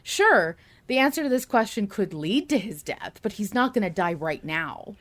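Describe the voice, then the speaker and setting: A woman's voice: medium pitch, sing-song, normal speed. A woman hosting a casual fan discussion podcast, speaking with enthusiasm as she comments and reads out remarks. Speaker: podcast host.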